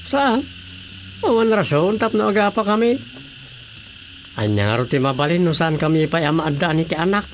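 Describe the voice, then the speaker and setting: A man's voice: on the high side, big pitch swings, moderate pace, pauses often. A man playing an easygoing brother-in-law and drinking buddy giving advice in an Ilocano-accented radio drama comedy. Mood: advising. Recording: clearly noisy radio drama recording.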